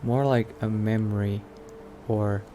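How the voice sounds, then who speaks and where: Male gamer, in-character roleplay, unhurried hesitant murmur, light noise behind. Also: fairly low pitch, steady tone, warm tone. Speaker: player roleplaying a vampire Dark Elf character. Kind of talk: in-character roleplay narration of a video game.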